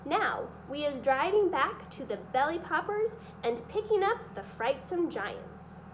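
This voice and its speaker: A woman's voice: sits high, sing-song, measured pace, a little hiss or hum, low-quality recording. A woman voicing a kindly, bossy giant with folksy, mangled grammar in a children's storybook reading. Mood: friendly, firm. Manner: ungrammatical, folksy mangled words. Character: kindly big friendly giant, bossy and reassuring.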